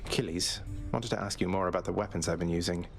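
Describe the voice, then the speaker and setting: An actor playing a polite, wry, defiant young underworld prince in a video game, dry and understated. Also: male voice, deep voice, fairly even intonation, fast, creaky, music in the background. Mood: friendly, wry. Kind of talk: video game character acting.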